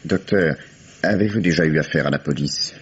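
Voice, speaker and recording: An actor playing a calm, shrewd police commissioner who questions a suspect in a measured, probing way, in the manner of a 1950s radio drama. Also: male voice, extremely low pitch, slightly rough, exaggerated voice. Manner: measured, probing, dry irony. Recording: dull old radio recording with faint hiss.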